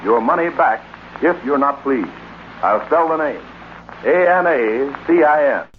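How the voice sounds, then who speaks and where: A man with an American accent reading a scripted vintage radio advertisement as a persuasive, measured announcer, on a dull, noisy old recording. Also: mid-range voice, animated. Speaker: commercial announcer.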